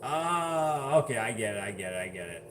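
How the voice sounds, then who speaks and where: A man's voice: fairly low pitch, big pitch swings, normal speed, noisy background. A man casually chatting on a livestream, reading viewer comments aloud and laughing often. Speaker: livestream host.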